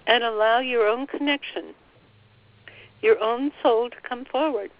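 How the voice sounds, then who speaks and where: A woman leading a slow guided meditation, softly passing on a spiritual guide's words phrase by phrase. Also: a little high, dry recording. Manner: soft, relaying every phrase with "he says". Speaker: channeler relaying a spiritual guide. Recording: dull, dry lo-fi recording.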